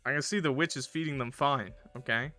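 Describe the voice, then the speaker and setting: A man's voice: medium pitch, brisk, sharp tone, soft background music. A man streaming a game with casual, jokey, slangy commentary. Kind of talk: casual commentary mixed with read-aloud narration.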